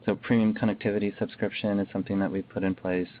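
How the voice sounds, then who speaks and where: A man's voice: fairly low pitch, level tone, fast talker. A man giving a businesslike explanation as a company executive on an earnings call. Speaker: company executive answering questions. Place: conference call, heard through a livestream. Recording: tinny phone-like call audio, faint noise.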